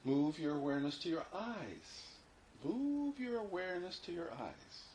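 A man leading a guided meditation, giving unhurried, repeated instructions with long pauses between them. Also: high-pitched, animated, a little mellow. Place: informal indoor room. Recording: roomy echo, faint background noise.

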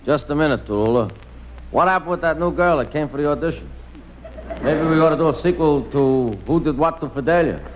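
A man's voice: on the high side, a little fast, rough recording. A man performing comic banter on a 1950s radio variety show. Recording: old radio recording, some noise.

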